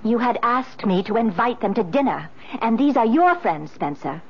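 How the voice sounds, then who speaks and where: An actress playing a wronged, genteel wife in a 1970s radio drama, speaking with formal, pointed reproach and dramatic pleading. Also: female voice, on the high side, animated, moderate pace, slightly rough. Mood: icy reproach. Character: Margaret, wronged, genteel wife, wounded and demanding. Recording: muffled vintage radio broadcast.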